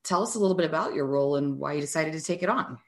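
Casting: woman host with a notably low voice, warm enthusiasm, conversational delivery. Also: racing. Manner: enthusiastic, effusive. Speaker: interview host. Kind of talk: conversational interview.

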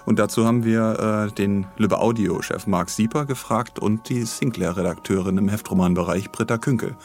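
A man's voice: fairly low pitch, moderate pace, darkish tone, faint music behind. A man co-hosting a podcast, announcing news in casual chat with dry, teasing banter. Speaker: podcast co-host.

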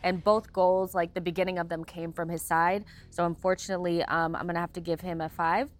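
Female sports analyst, slightly low voice, candid and conversational, faint music bed. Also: little pitch movement, brisk. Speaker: sports show panelist and analyst. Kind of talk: casual sports commentary.

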